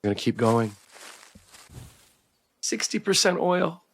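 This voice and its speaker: A man's voice: on the high side, sing-song, slow pace. A man hosting a live game stream, reading story text aloud and chatting playfully with viewers. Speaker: livestreamer, game playthrough host. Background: background music.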